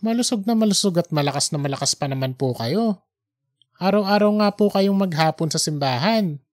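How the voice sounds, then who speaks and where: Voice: medium pitch, animated, brisk, a little mellow, lightly acted. A narrator voices an earnest young man from an audiobook tale. He is deferential and polite, offering reassurance with simple sincerity. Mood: reassuring. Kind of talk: audiobook character dialogue.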